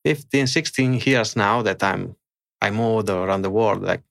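A middle-aged man with an Italian accent, answering interview questions in a halting way with many fillers and restarts. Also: lowish voice, gravelly, fairly bright tone. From Italy. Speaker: guest, extreme overclocker. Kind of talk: casual interview.

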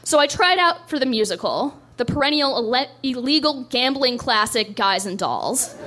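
A woman's voice: sits high, animated, normal speed, bright, forceful tone, a little hiss or hum. A young woman doing a stand-up set, telling a story in a dry, self-deprecating way on a club stage. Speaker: stand-up comedian.

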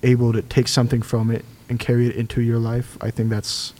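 Mellow-voiced man, hesitant. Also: medium pitch, completely flat delivery, brisk. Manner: hesitant, slangy. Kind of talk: casual group chat.

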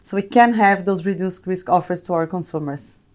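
A woman's voice: on the low side, brisk, darkish tone. A middle-aged woman from Brazil with a Brazilian accent, explaining things reflectively as an executive guest in a corporate interview.

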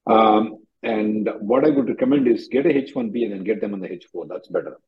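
A man's voice: on the low side, fairly quick, breathy edge. A man working as an immigration attorney, bluntly advising callers on a conference call and repeating himself for emphasis. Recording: dull lo-fi conference call audio.

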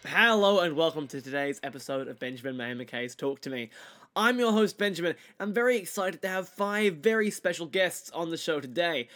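Higher-voiced Australian man presenting a podcast. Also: animated, moderate pace, pushed and bright. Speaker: podcast host and interviewer.

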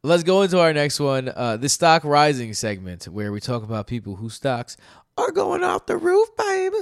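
Animated male podcast co-host. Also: on the high side, moderate pace, gravelly, no room sound, clean studio sound. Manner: animated, swearing, laughs often. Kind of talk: casual fantasy sports chat.

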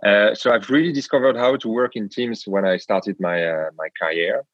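A man with a French accent, a guest on an interview podcast, speaking in a measured way with frequent pauses and hesitations. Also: lowish voice, dry recording, highs cut off. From France. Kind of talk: reflective interview talk.

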